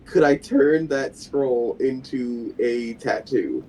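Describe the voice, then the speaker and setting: A man's voice: mid-range voice, animated, takes it slowly, mellow, rough recording. A man chatting casually as a player in a tabletop game. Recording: faint background noise.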